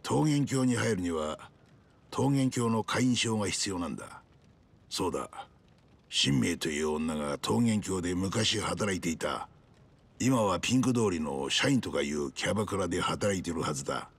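A man playing a gruff underworld informant in a game cutscene, giving a plain, businesslike briefing. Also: deep voice, lively intonation, moderate pace, heavily acted. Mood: serious. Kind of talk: Japanese video game cutscene acting.

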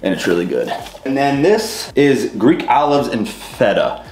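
A man's voice: mid-range voice, sing-song, a little slow, darkish tone, soft background music. A young man chatting casually to camera in his home kitchen, showing off groceries with a loose, rambling delivery full of "uh" and "like". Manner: loose and rambling, many fillers like "uh" and "like". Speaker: fitness vlogger. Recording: roomy kitchen with clear background noise.

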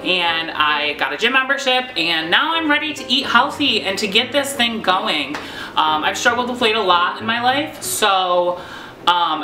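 Bright-voiced young man in an echoey kitchen. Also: high voice, slight background noise. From New York, USA. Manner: chatty, rambling with many ums, self-deprecating. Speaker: vlogger. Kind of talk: casual home cooking vlog. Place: home kitchen, indoors. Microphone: fixed camera at close range. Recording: echoey kitchen with faint music.

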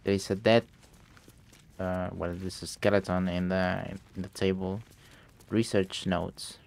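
A young man commentates his gameplay while sick, and the illness drags his voice lower than usual. His tone has a gravelly, fry-laden edge. He reads in-game notes aloud in halting bits, full of fillers, speaking English as a second language. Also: flat delivery, darkish tone. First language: not English. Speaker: gameplay streamer. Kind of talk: let's play commentary.

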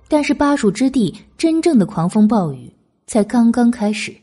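A woman reads a historical novel with expressive, literary phrasing. Her voice sits fairly high and moves through animated intonation. The tone is mellow rather than bright, suited to dramatic storytelling. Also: breathy edge. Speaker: audiobook narrator.

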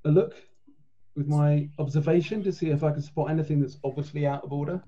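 A man's voice: a little high, steady tone, a little fast, dark tone. A man chatting casually as a player in a tabletop game on an online call. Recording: dull, muffled call audio.

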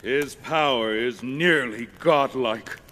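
A man's voice: medium pitch, slow pace, sharp tone. An actor playing a grand old skeletal king in a video game, courtly and grave, with formal, theatrical phrasing. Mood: grave explaining. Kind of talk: video game cutscene acting.

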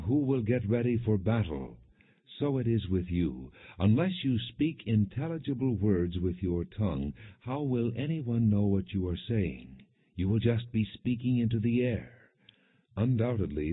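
A low, rough-edged male narrator reads from the Bible in measured, deliberate phrases. The delivery is scripted and undramatized. The sound is dry, with no sense of a room around the voice. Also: no echo. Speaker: audiobook narrator. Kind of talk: scripted Bible reading, non-dramatized. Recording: muffled, narrow phone-like sound.

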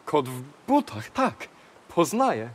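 A man's voice: a little high, huge pitch swings, slow pace, background noise, heavily acted. A man playing a deferential royal courtier making formal announcements in a fairy-tale audio play.

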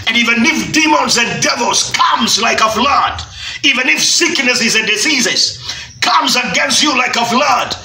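A middle-aged Kenyan man preaching a shouted Easter sermon, stretching his key words as he builds. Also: very high voice, animated, measured pace, vocal fry, recorded from a distance. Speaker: pastor. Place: church stage, indoors. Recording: echoey room from afar, music behind.

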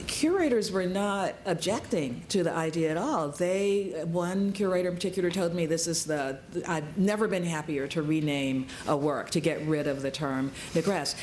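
A woman speaking as a curator on a panel discussion in a large conference room, measured and deliberate. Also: low voice, a little mellow, a little hiss or hum.

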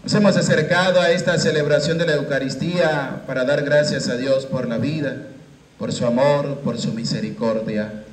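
A man in his thirties, a priest leading Mass into a handheld microphone in a small chapel, with a slow, measured liturgical cadence. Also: mid-range voice, steady tone. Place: small chapel with curtained walls. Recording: echoey chapel room.

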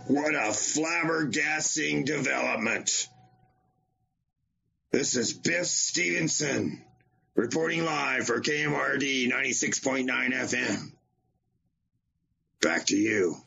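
Slow, portentous newsman, acted by a man. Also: medium pitch, vocal fry, bright, forceful tone, husky, lo-fi sound, heavily acted. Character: grave, self-important male breaking-news field reporter. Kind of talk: parody news report.

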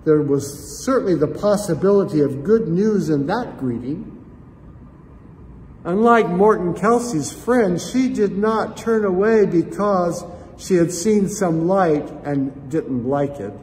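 Older guy preaching slow in a church, high voice, long echo off the walls. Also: animated, darkish tone. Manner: reflective, addresses listeners as friends, gestures with both hands. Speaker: pastor. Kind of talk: sermon. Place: church sanctuary, indoors. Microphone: camera at chest height, seated facing it. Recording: long church reverb, faint room noise.

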